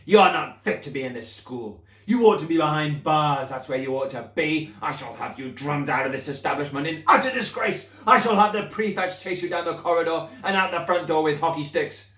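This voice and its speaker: A man voicing a huge, bullying female headmistress who rants in booming, scornful tirades. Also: expressive, normal speed, fairly bright tone, natural voice. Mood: raging. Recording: roomy echo.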